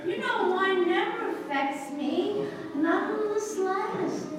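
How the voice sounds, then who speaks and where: An actress playing a proud young society bride who turns tipsy, with theatrical, drawn-out delivery in a live radio drama. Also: female voice, extremely high pitch. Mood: tipsy, playful. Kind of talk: live old-time radio stage acting. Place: live stage performance in a hall. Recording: echoey hall, distant microphone.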